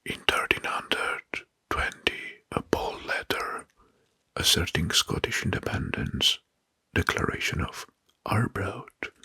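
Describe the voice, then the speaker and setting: A man narrating history as an ASMR host in a breathy whisper, slowly and deliberately, repeating words, close to the mic. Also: sits low, animated, bright, forceful tone. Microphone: close microphone.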